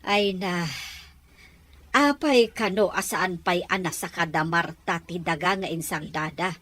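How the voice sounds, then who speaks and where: A woman's voice: on the low side, measured pace, a bit bright. A woman with an Ilocano accent playing a matter-of-fact village neighbour in an audio drama. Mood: gossiping.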